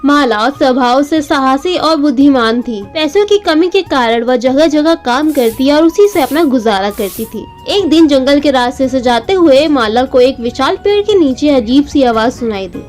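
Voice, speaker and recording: A woman's voice: high-pitched, expressive, moderate pace, hardly any pauses, darkish tone. A woman narrating a scripted children's bedtime story as the storyteller. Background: music.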